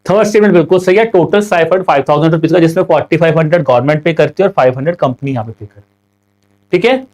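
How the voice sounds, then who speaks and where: A young Indian man with a sore throat, teaching an online exam prep class. He mixes Hindi and English, reads each question slowly, then explains the answer fast. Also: fairly high pitch, a little mellow.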